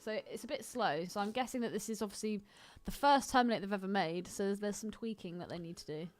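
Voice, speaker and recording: A woman's voice: mid-range voice, fast talker, soft background music. A woman co-hosting a podcast, bantering casually about films in a blunt, teasing, sweary manner. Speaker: podcast co-host. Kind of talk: casual film chat banter.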